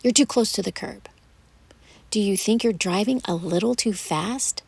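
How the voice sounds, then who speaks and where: A woman's voice: medium pitch, creaky, darkish tone, slightly rough. Woman voicing a nagging old mom. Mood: fussy. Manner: nagging, indignant, quick to argue. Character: fussy bossy older mother, sharp-tongued.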